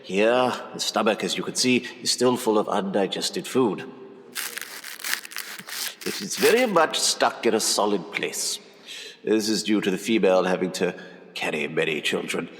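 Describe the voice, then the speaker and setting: An actor playing a pompous, condescending Edwardian surgeon in a period audio drama, with a lecturing manner. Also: male voice, lowish voice, animated, moderate pace, a little hiss or hum, natural voice. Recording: echoey reverberant hall sound.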